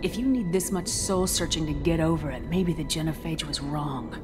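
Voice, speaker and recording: A woman's voice: low-pitched, moderate pace, music in the background, close to an everyday voice. An actress playing a tough military commander in a video game, direct and probing in how she talks. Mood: accusing. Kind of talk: video game dramatic dialogue.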